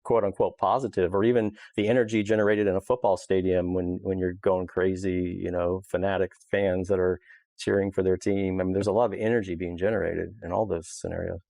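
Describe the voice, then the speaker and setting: A man's voice: low voice, fairly even intonation, brisk, vocal fry, dark tone, no echo, studio quality. A man talking as a podcast guest in a casual, unscripted, rambling and informal way. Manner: rambling, informal, peppered with "you know". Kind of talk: casual unscripted interview talk.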